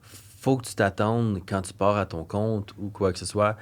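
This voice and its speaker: A young man with a Québécois accent speaks in a low register, chatting casually as a podcast co-host. His talk is earnest and rambling, and he often loses his thread before finishing a point. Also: steady tone, measured pace. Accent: Québécois French. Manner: rambling, earnest, trails off mid-thought. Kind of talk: casual chat.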